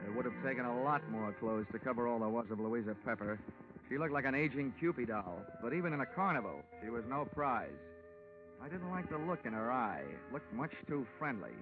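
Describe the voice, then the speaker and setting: An actor playing a wry, cool-headed insurance investigator in a hard-boiled, wisecracking 1940s radio detective style. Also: male voice, medium pitch, animated, a little fast, over music, close to an everyday voice. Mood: cool, businesslike. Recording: tinny, band-limited 1940s radio recording.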